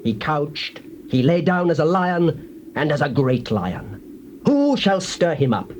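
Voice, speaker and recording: An actor playing a solemn, defiant prophet in a dramatized audio Bible, declaiming grand oracles like incantations. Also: male voice, on the high side, sing-song, takes it slowly, darkish tone, muffled recording. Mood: solemn, prophetic. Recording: hissy old cassette tape.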